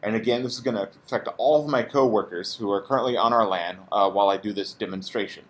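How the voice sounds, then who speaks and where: A man's voice: on the low side, fast talker. A man presenting an informal, explanatory product tutorial as a support technician.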